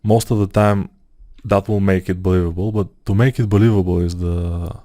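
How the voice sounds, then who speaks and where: A man with a Bulgarian accent talks through his modeling work at a computer, thinking aloud in a slow, unhurried way with plenty of "uh"s. His voice is low and mellow. The sound is dry and close, like a desk mic at a computer. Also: steady tone, dry recording. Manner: thinking aloud, hesitant with fillers, non-native phrasing. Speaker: 3D modeling tutor. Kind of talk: screen-recorded software tutorial.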